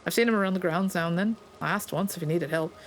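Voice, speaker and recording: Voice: medium pitch, fast, slight background noise, close to an everyday voice. A player reading aloud the lines of a plainspoken Scottish stable master in a game, in a folksy, understated way.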